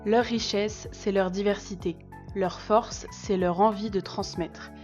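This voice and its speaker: A woman's voice: medium pitch, fairly even intonation, measured pace, darkish tone. A woman reading a scripted promotional narration. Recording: slightly dull sound, music behind. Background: music.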